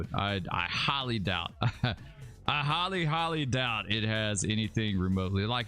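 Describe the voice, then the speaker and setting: A low-voiced man with a relaxed drawl chats through a game live stream at his home setup. He rambles easily, calling viewers dude and bro, with faint music under his voice. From Alabama, USA. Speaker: livestreaming gamer host. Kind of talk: casual live-stream commentary.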